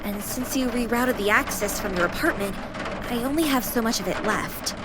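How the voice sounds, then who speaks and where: A woman's voice: fairly high pitch, moderate pace, very noisy, natural voice. A woman playing a teasing, sassy young female AI companion in a video game, with a playful, mock-formal manner. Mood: earnest, reassuring.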